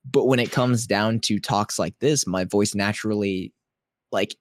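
Low, reflective male podcast co-host. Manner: deliberately lowers his naturally high voice; reflective, thinks aloud in long sentences. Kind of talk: casual podcast chat.

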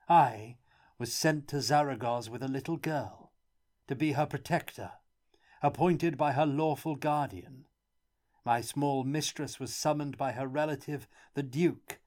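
A man voicing a miserable, guilt-ridden prisoner with a hoarse, tearful, pleading voice. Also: mid-range voice, darkish tone, pitched above the performer's own voice, lightly acted.

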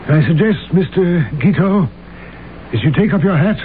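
An actor playing a stern, formal older uncle, an attorney with an authoritative manner, in old-time radio drama style. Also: male voice, on the high side, animated, moderate pace, natural voice. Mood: stern, indignant. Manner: clipped, authoritative, dryly formal. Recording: tinny, faintly noisy vintage radio recording.

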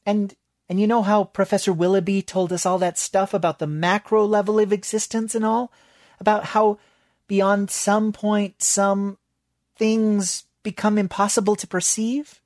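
A man voicing a frantic, scheming young female student who rambles in a high, pleading voice. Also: flat delivery, a little slow, darkish tone, no room sound, studio quality. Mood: sheepish.

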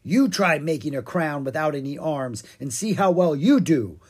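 A grumpy pumpkin-head king from a children's storybook, acted out by a narrator, pitched up and swooping with every boast. He is huffy, theatrical and full of his own importance, with a husky edge to the voice. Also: very animated, measured pace. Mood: huffy, griping. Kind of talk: animated children's storybook character reading.

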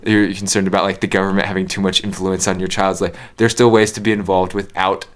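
A man's voice: low-pitched, little pitch movement, quick pace. A young man co-hosting a podcast in a casual, conversational way. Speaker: podcast host.

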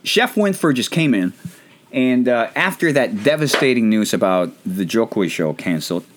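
A man's voice: medium pitch, very animated, normal speed, noisy background. A Filipino man, not a native English speaker, hosting a casual, rambling, self-deprecating chat show. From Philippines. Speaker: podcast host.

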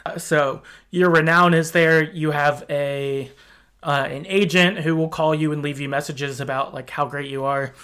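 A man hosting a casual podcast chat about video games, talking in a halting, conversational way. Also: fairly high pitch, fairly even intonation, fairly bright tone, clean tone. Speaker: podcast host. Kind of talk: casual video game discussion.

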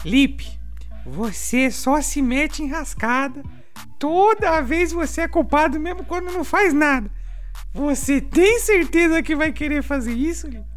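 High-voiced woman playing a bossy kid. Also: expressive, measured pace. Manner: bossy, teasing. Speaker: player. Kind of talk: in-character roleplay as a cocky schoolkid. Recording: music under the voice.

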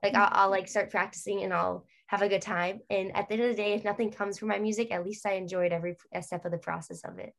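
A woman's voice: mid-range voice, little pitch movement, fast, gravelly, few pauses. A young adult woman, a guest in a casual interview, talking at length in a rambling way with many fillers.

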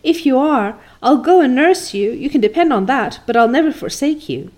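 A woman's voice: expressive, moderate pace, a little mellow. A woman voicing a dramatic, tearful young orphan girl who wails and sobs theatrically. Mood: pleading. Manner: wailing, theatrical, high and sobbing.

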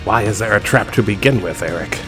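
A man's voice: lowish voice, fairly quick, music in the background, close to an everyday voice. A man voicing an exasperated, pleading male captive in a tabletop roleplay. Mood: indignant, alarmed. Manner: indignant, pleading. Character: trapped, exasperated male friend of the Phantom, Daroga.

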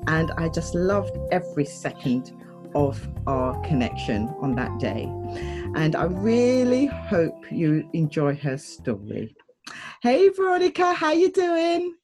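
A woman's voice: deep voice, very animated, slow, over music. A woman hosting an interview show, speaking in a chatty, effusive and encouraging conversational way.

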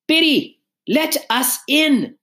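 A woman voicing a haughty, agitated mother in a storybook, with a sharp, imperious manner. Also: sits low, sing-song, moderate pace, pitched above the performer's own voice. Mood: imperious, angry. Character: haughty, frantic, shouting upper-class mother.

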